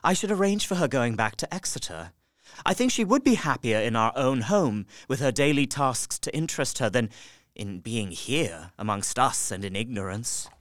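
A man's voice: mid-range voice, lively intonation, normal speed, a bit bright, dry recording, clean studio sound, close to an everyday voice. An actor playing an earnest young solicitor and devoted husband, narrating his journal in an audio drama. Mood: weary, concerned.

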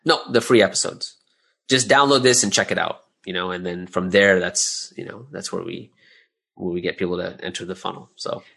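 Male, lower-pitched, conversational guest explaining things in a halting, think-aloud way. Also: steady tone, creaky, bright, forceful tone, husky. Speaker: interview guest, audio drama creator and business owner. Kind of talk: casual conversational interview answers.

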